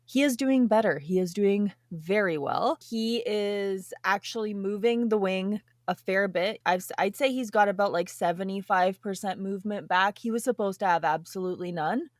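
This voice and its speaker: A woman's voice: mid-range voice, moderate pace, hardly any pauses, no echo, studio quality. A woman co-hosting a podcast in casual chat, blunt and swearing casually. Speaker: podcast co-host.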